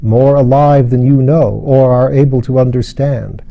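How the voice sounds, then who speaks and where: A man voicing a solemn ancient father in a storybook, speaking gravely and with calm authority. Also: medium pitch, moderate pace, lo-fi sound, close to an everyday voice. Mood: solemn, assured.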